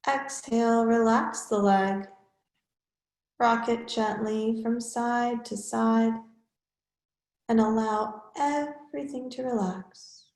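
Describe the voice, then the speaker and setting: A woman yoga teacher leading a guided relaxation in measured, instructional short phrases with pauses between them. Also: on the high side, steady tone, darkish tone, echoey room.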